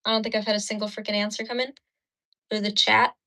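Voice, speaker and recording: Bright chatty woman doing makeup on stream. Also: medium pitch, level tone, quick pace. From Ukraine. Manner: chatty, laughing, cursing, talks while doing makeup. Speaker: livestream host. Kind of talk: casual livestream chat. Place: indoors at a mirror. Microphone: phone.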